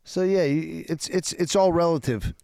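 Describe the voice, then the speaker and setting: A man in his forties with a slightly high, smooth voice chats as a co-host. The banter is casual and comic, and the sound is dry and close. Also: moderate pace, a little mellow, no room sound. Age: early 40s. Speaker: talk show co-host.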